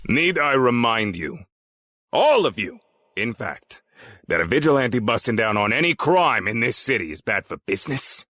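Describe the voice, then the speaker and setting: A man's voice: mid-range voice, sing-song, normal speed, bright, forceful tone. A man playing a cold, menacing crime boss in an audio drama, speaking in a controlled, condescending and sardonic way. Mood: cold, threatening. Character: cold, menacing male crime boss hosting powerful guests. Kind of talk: scripted audio drama dialogue.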